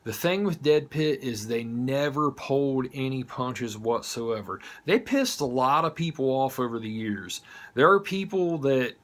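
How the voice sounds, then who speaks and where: A man's voice: mid-range voice, animated, unhurried. A man with a Southern US accent gives a casual, heartfelt monologue to viewers in an informal, blunt manner. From United States, not from the South. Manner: informal, blunt, occasional swearing. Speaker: host of an online horror show.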